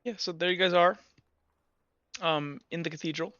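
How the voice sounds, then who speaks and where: A man's voice: on the high side, steady tone, normal speed, a bit bright, dry recording, muffled recording. A man who narrates as game master, describing scenes in a loose, rambling way full of "like".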